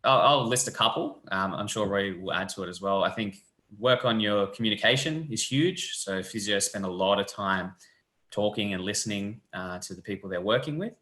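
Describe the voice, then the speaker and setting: A young man, a physiotherapy lecturer explaining the course in a relaxed, friendly way over a video call. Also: on the low side, normal speed. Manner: relaxed, friendly, loose fillers. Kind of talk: casual explanatory chat. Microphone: webcam on a video call. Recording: echoey room.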